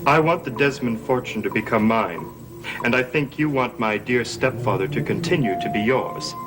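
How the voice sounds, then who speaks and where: An actor playing an insolent, scheming young heir in a gothic television soap, smug, formal and pointed. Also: male voice, medium pitch, expressive, moderate pace, over music, lightly acted, rough recording. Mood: smug, needling. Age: young adult.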